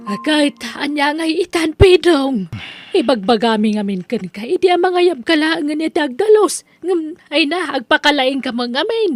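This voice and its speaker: A woman's voice: sits high, animated, moderate pace, soft background music. A woman voicing a mother nagging and scolding her son in a radio drama.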